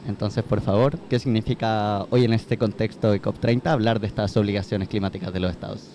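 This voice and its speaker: Mellow-voiced male panel moderator. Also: on the low side, steady tone, slight background noise. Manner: brisk, courteous, introducing speakers. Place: conference venue.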